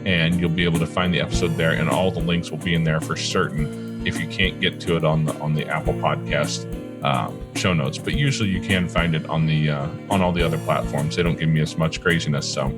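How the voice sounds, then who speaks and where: A man's voice: rumbling low, fairly even intonation, fairly quick, over loud music. A man with a Midwestern accent hosting a podcast interview, chatting casually, rambling a little and correcting himself as he goes. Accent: Midwestern American. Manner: rambling, self-correcting, laughs easily. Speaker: podcast host.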